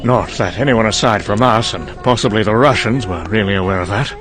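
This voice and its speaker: A man's voice: on the low side, brisk, music in the background, close to an everyday voice. A man playing a wry, cynical scientist in a dramatic motion comic monologue, musing and condescending. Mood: detached, sardonic. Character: wry, cynical male scientist recounting a doomed secret programme.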